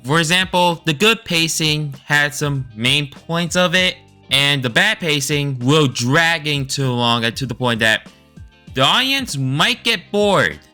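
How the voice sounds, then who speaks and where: A man giving informal opinion commentary as a video creator, speaking in halting, uneven phrases with choppy emphasis. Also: mid-range voice, bright, forceful tone. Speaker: video creator reviewing a game story.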